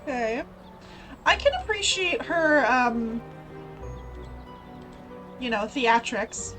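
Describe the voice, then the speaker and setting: A woman's voice: fairly high pitch, expressive, slow pace, a bit bright, soft background music, rough recording. Chatty woman streamer, voice strained. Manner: chatty, talks to viewers. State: voice strained and sore. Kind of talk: casual live gameplay commentary.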